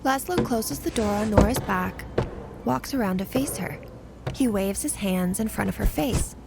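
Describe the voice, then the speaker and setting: A woman's voice: mid-range voice, moderate pace, gravelly, background noise. A woman reading scripted story narration for an audio drama as the narrator. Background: music.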